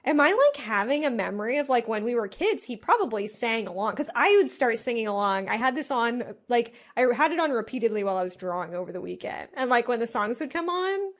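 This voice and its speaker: Animated, higher-voiced woman musing aloud. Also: brisk, hardly any pauses. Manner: animated, musing, heavy use of "like". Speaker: podcast co-host. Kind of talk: casual chat.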